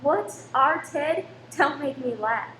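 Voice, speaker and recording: Actress as spirited, loyal cinema wife in radio drama; high pitch, echoey room. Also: female voice, expressive, slow, a bit bright, exaggerated voice. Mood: warm. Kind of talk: amateur radio play acting. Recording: roomy echo with faint noise.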